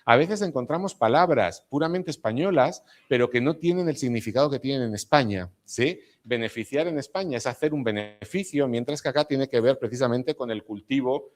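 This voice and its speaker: A man's voice: medium pitch, brisk, few pauses. A middle-aged man from Spain with a Spanish accent touched by Argentine habits, giving an academic lecture and often checking in with the audience. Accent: Spanish with some Argentine features. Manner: explanatory, with frequent tag questions to the audience.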